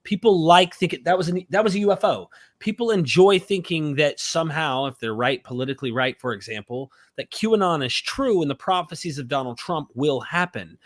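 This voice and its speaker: A man speaking as a podcast guest, measured and wry. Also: on the high side, no room sound, studio quality. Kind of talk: casual podcast chat.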